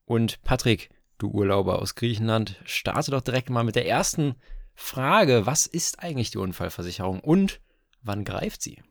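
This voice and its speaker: A man co-hosting a podcast, explaining topics in a brisk, casual way and laying out definitions slowly and deliberately. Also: mid-range voice, lively intonation, creaky, no echo, studio quality. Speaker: podcast co-host.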